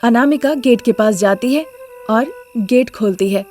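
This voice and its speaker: A woman's voice: fairly high pitch, a little slow, a little mellow, faint music behind. Woman narrating a moral story.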